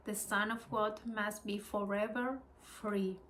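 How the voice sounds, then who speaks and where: A woman whose first language is Spanish, with a Spanish accent, reading spiritual lessons slowly and hesitantly, adding her own reflections. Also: mid-range voice, little pitch movement, fairly bright tone, slight background noise, rough recording. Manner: hesitant, apologetic, with small laughs and restarts.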